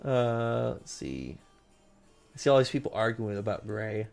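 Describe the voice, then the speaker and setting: A man's voice: on the low side, big pitch swings, measured pace, gravelly, darkish tone, soft background music. A man hosting a livestream, chatting casually with viewers in a rambling, repetitive way full of asides. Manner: rambling, jumps between asides, repeats himself. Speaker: livestream host. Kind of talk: casual chat with viewers, reading comments.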